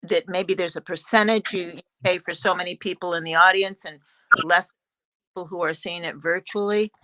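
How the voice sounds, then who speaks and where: A woman's voice: lowish voice, fairly even intonation, background noise. A woman speaking as a panelist on a video call, thinking her answers through and often repeating words as she goes. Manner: halting, thoughtful, repeats words. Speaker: playwright panelist.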